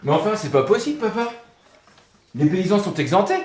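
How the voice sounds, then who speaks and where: A man's voice: high voice, sing-song, fairly quick, darkish tone. A man playing a defiant young peasant son in an audio drama. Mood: indignant. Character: defiant peasant son in his early twenties. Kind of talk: French audio drama acting. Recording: roomy sound, background noise, clicks.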